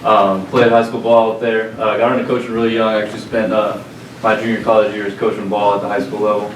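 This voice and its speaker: A man from Southern California, a football offensive coordinator, introducing himself in a relaxed, friendly way. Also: fairly low pitch, fast. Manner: relaxed, friendly, loose chat. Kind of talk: self-introduction to a room. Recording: roomy, distant, noisy room recording.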